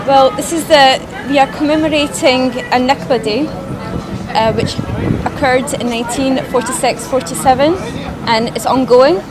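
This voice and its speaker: A woman's voice: high voice, steady tone, measured pace, crowd noise. A woman originally from Iraq being interviewed outdoors, speaking earnestly and steadily as a campaigner. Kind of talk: street interview. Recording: loud street traffic noise.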